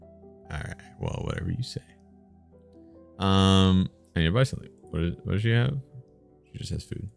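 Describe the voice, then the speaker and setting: A man's voice: deep voice, normal speed, creaky, long pauses, faint music behind. A man livestreaming a game, chatting casually with goofy, profane banter. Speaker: livestreamer reading an interactive story game aloud. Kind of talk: casual joking chat and read-aloud.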